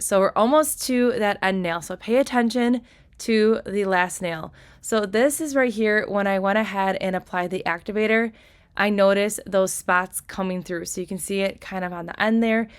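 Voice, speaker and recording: A young woman with a slightly raspy voice, chatting enthusiastically through a nail tutorial voice-over. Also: medium pitch. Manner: enthusiastic, chatty, lots of "like" and "kinda".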